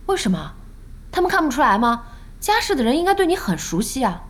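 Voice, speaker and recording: A woman's voice: high voice, big pitch swings, quick pace. An actress playing a spirited, bossy young female internet cafe owner in a Chinese audio drama, speaking frankly and expressively. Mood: incredulous.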